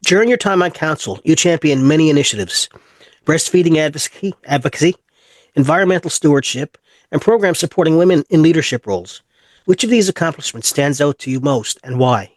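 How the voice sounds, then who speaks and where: A man's voice: a little high, expressive, fairly quick, no room sound. A man hosting an interview, asking brief, polite questions and giving short affirmations. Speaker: interview host.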